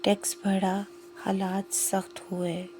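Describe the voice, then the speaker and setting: A woman narrating a scripted moral story in short, measured phrases with pauses. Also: medium pitch, completely flat delivery, mellow, a little hiss or hum.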